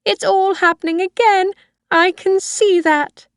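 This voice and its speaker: Casting: obsessive old mother, high and pleading, slow delivery, dry close sound, put on by a female reader. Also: steady tone, dry recording. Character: frail old woman, possessive, obsessive mother.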